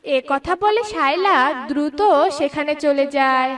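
Brief: female storyteller, high voice, scripted kids' narration, slightly distant recording. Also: a little slow, a bit bright.

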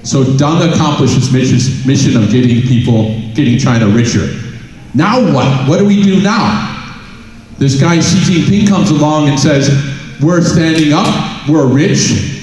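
A man's voice: fairly high pitch, a little slow, a little mellow. A middle-aged man on a stage giving a conference keynote, telling stories and asking rhetorical questions into a headset mic. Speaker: keynote speaker. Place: large conference hall on a stage. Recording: very echoey hall.

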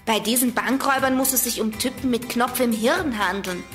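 A woman playing a bold, sharp-witted, slangy girl detective in a children's audio drama. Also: fairly high pitch, soft background music, lightly acted. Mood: sceptical, reasoning. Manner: brisk, decisive, slangy.

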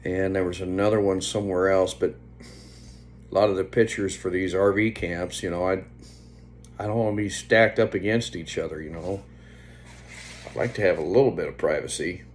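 Low-pitched middle-aged man, casually rambling. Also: fairly even intonation. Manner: unhurried, meandering, trailing off. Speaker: vlogger. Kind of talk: casual rambling vlog chat. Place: indoors, small room at home. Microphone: phone at arm's length. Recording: faint background hum on phone recording.

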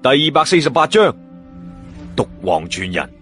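A man's voice: mid-range voice, big pitch swings, moderate pace, a bit bright. A man narrating a story dramatically, with colloquial playful asides and exclamations, as an audiobook storyteller. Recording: background music behind narration.

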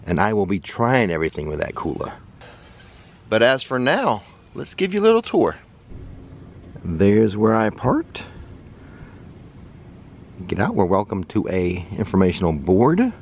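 Upbeat American man vlogging outdoors. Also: lowish voice. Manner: chatty, upbeat, pointing things out to viewers. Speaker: travel vlogger. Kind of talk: casual travel vlog narration. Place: outdoors at a riverside park and on a kayak. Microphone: camera held at arm's length.

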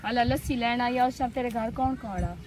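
A young woman with a rural Pakistani Punjabi accent, playing a bossy, sharp-tongued village woman scolding her suitor in a comic drama. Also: fairly high pitch, brisk, close to an everyday voice. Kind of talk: improvised comic drama dialogue. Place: outdoors by a brick wall and field. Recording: distant camera sound, loud outdoor noise.

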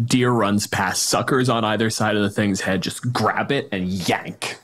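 Low-pitched man talking casually. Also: unhurried, fairly bright tone. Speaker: podcast host. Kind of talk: casual tabletop game discussion.

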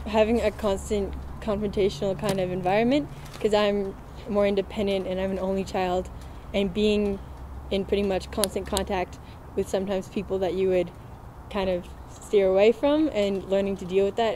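A woman's voice: mid-range voice, flat delivery, moderate pace, mellow, slight background noise, poor audio. A young woman reflecting casually on a hard lesson. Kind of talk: casual testimonial.

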